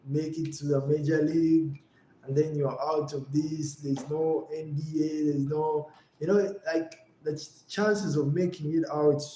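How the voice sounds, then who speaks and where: Young man from Uganda, streamer, earnest, repeats himself, warm tone, faint room noise. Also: on the high side, reverberant, poor audio. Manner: earnest, reflective, repeats phrases. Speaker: live streamer and creator. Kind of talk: livestream talk.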